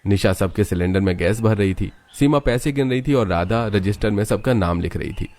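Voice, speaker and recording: Low-pitched male story narrator. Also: brisk, a little mellow.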